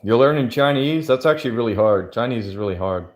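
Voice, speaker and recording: A man's voice: mid-range voice, animated, fast. A man livestreaming and answering chat in a loose, rambling way, full of fillers. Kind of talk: casual chat.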